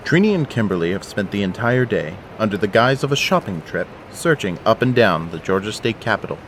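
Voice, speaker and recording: Deep-voiced male story narrator. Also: big pitch swings, brisk, fairly bright tone. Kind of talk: scripted audio drama narration. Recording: faint background noise.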